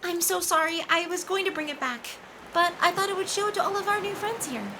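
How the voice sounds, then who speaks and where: A woman playing a sweet, playful mermaid who tells stories to children in an animated, coaxing way. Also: very high-pitched, fairly quick, poor audio. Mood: apologetic, pleading. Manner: animated, coaxing, asks listeners questions. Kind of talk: children's storytelling, addressed to the audience. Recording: faint background ambient noise.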